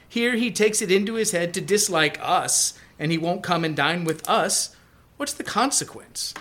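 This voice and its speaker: A woman's voice: mid-range voice, fairly bright tone. A woman playing a good-humored, forgiving young nephew in a live radio play reading.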